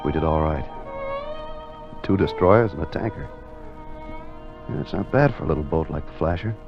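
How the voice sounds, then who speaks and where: A gravelly, very deep voice plays a plain-spoken, hesitant everyman in vintage radio drama. He halts and repeats himself, and the recording is muffled and lo-fi. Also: animated, music in the background, heavily acted. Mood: weary, tender. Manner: halting, repeats words. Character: plain-spoken, hesitant everyman, weary sailor and curious questioner. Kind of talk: old-time radio drama acting.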